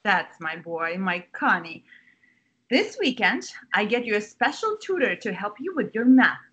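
Actress as nervous doting mother, husky, bright sharp edge, film drama. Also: mid-range voice, big pitch swings, a little slow, heavily acted. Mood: doting, insistent. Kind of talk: screenplay table read. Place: indoors on a group video call. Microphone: video call.

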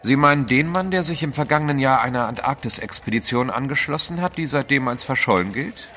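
An actor in a German audio drama playing a cool, sceptical gentleman adventurer with a formal, haughty manner. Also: medium pitch, lively intonation, brisk. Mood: amused, sceptical.

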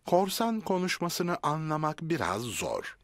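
A man's voice: fairly high pitch, wildly swooping pitch, a little slow, no room sound, studio quality, exaggerated voice. A man playing the cheerful narrator of a preschool cartoon, reading scripted narration. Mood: cheerful, explanatory.

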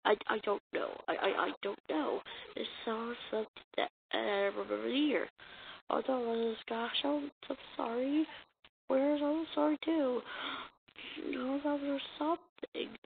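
A muffled, slightly hissy home recording of a woman with a high voice. She reads cartoon comic dialogue in an amateur dramatic style, halting and stumbling as she goes at an unhurried pace. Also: fairly even intonation, gravelly. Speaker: amateur comic dub voice actor. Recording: muffled lo-fi mic with hiss.

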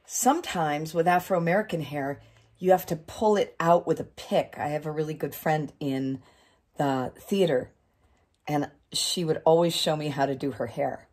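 A middle-aged woman reading a picture book aloud to children, expressively, with chatty asides and questions to her listeners. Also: low voice. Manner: expressive, drawn-out phrasing with questions to young listeners. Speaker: community storytime reader. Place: small wood-panelled room indoors.

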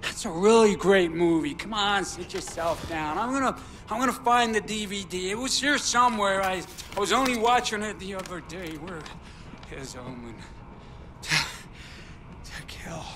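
An actor playing a lonely, jittery war veteran obsessed with movies in a video game, halting and rambling, eager and pleading. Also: male voice, high-pitched, expressive, fairly bright tone. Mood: insistent. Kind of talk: naturalistic video game acting.

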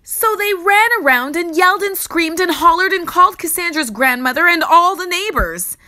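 Animated woman storyteller with a high voice. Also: normal speed. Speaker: storyteller reading a children's book aloud. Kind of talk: expressive scripted narration for children.

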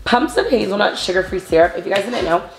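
A woman's voice: mid-range voice, expressive, quick pace. A young woman vlogging from her home kitchen, chatty and bubbly, calling viewers babes and honey. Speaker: lifestyle vlogger. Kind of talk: casual chat to camera. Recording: echoey kitchen, soft background music.

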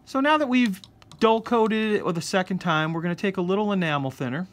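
A man hosting a casual scale-model painting tutorial, explaining each step in a relaxed way. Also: sits high, brisk. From United States. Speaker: hobby shop host. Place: hobby workbench indoors.

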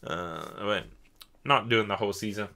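A man's voice: on the low side, moderate pace, creaky, sharp tone. A young man hosting a podcast, chatting casually in a rambling, self-deprecating way while a little tipsy. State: tipsy from drinking. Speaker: podcast host.

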